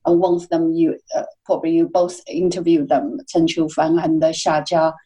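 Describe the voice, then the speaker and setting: A woman's voice: low-pitched, little pitch movement, normal speed, warm tone, low-quality recording. A woman being interviewed as a literary guest, speaking hesitantly with many fillers. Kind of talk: casual interview chat.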